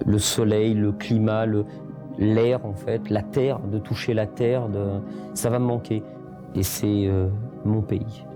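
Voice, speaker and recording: A man's voice: on the low side, little pitch movement, takes it slowly, over music. A middle-aged man born in Portugal, speaking reflectively in an interview with many hesitations and restarts. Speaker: documentary interviewee.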